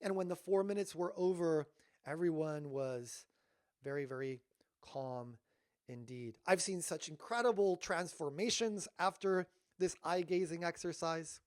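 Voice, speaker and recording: A man giving a storytelling talk as a workshop leader, animated but dropping to hushed tones at emotional moments. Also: fairly high pitch, a little slow, no echo, studio quality.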